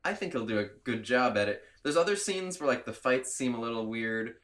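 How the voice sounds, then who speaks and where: Male podcast host, congested from a cold, slightly nasal, loose and chatty, mid-range pitch. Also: lively intonation, fairly quick, a bit bright. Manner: chatty, laughs often, rambling. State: has a cold. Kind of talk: casual chat.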